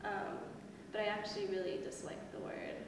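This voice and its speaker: A young woman answers interview questions candidly and casually. She sounds distant from the mic. The room is very echoey, with long reverb and clear background noise. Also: medium pitch, sing-song, normal speed. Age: young adult. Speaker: student interviewee. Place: indoor room.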